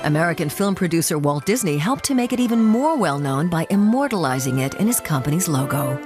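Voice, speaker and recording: A woman's voice: on the low side, animated, a little fast. A woman reading scripted narration for a travel report as a documentary narrator. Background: background music.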